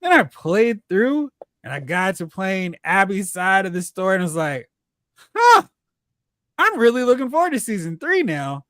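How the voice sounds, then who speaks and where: A host chatting casually about pop culture, retelling reactions in slangy, animated asides. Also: medium pitch, no echo, clean studio sound. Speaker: host of a film and TV discussion show.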